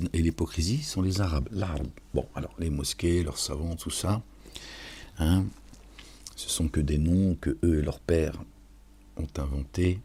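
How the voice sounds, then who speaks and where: Very deep, husky-voiced man preaching informally. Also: darkish tone. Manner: preachy, addresses listeners familiarly with repeated check-in questions. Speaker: online religious and political commentator. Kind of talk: informal sermon-like monologue reflecting on scripture.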